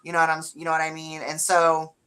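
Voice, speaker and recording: Low-voiced woman chatting casually. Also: quick pace, smooth. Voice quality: deeper voice. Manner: relaxed, chatty, lots of fillers. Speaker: co-host. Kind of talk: casual chat.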